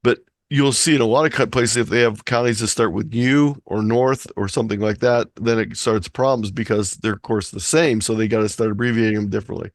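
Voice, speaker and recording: A man's voice: fairly low pitch, steady tone, brisk, hardly any pauses, no room sound. A man from Ohio giving an informal, explanatory presentation over a video call, speaking conversationally. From Ohio, United States. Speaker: presenter of an amateur radio talk. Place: online video meeting.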